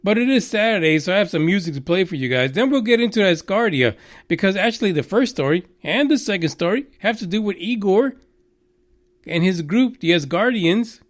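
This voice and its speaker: High-voiced Texan man ranting. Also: animated, brisk. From Texas. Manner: crude, swearing, mocking, rambling with fillers. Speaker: radio show host. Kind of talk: profane rambling news commentary.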